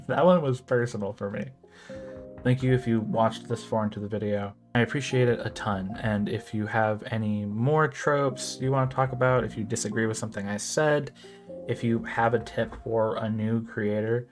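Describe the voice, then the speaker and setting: A young American man narrating an opinionated commentary video, emphatic and at times exasperated. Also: medium pitch. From United States. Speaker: video essay creator. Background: background music.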